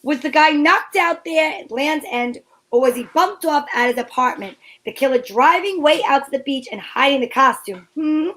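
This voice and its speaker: A woman's voice: high voice, expressive, normal speed, a bit bright, low-quality recording. A woman playing a plucky, curious female private detective in an amateur old-time radio drama, wry and wisecracking. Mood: wry narrating.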